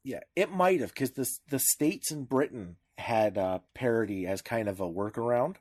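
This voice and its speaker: A man with a Canadian accent in a casual podcast discussion, speaking haltingly with repeated words and restarts. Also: mid-range voice, animated, darkish tone, no room sound. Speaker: podcast co-host. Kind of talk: casual discussion of media law.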